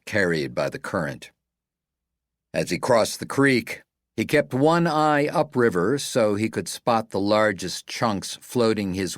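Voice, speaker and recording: Low-voiced, unhurried male audiobook narrator. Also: no echo, studio quality. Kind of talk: scripted audiobook narration of a novel.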